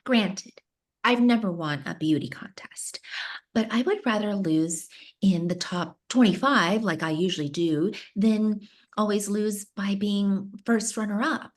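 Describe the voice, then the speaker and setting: A woman's voice: medium pitch, a little slow, lightly acted. A woman playing a frank, questioning pageant contestant at a screenplay table read. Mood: challenging.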